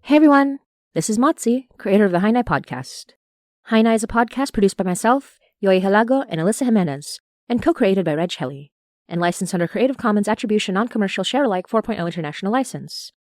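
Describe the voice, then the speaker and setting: Lively woman reading podcast credits. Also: medium pitch, fast, dry recording, clean studio sound. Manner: addresses listeners directly, reading out lists. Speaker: podcast creator and host. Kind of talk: scripted podcast credits and announcements.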